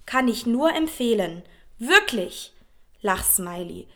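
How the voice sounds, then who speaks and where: A woman's voice: on the high side, expressive, takes it slowly, fairly bright tone, exaggerated voice. A woman playing a gushing Instagram influencer, reading social media posts aloud with emojis spoken as words. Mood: chatty, promotional. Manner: speaks emojis and tags out as words. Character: gushing female Instagram influencer promoting products to followers.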